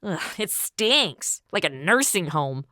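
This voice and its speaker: A woman's voice: on the low side, very animated, slow, pushed and bright, no room sound, clean studio sound, full character voice. A woman playing a brash, flippant young American in a comedy audio drama, casual and sarcastic.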